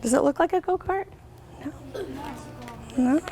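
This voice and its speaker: An American woman reading a picture book aloud to children as a guest reader, expressively, pausing to ask her listeners questions. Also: high voice, warm tone. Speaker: guest reader, company representative.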